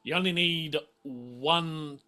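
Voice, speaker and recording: A man refereeing a casual tabletop game, halting and often repeating himself. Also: fairly high pitch, bright, forceful tone, soft background music. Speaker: game master.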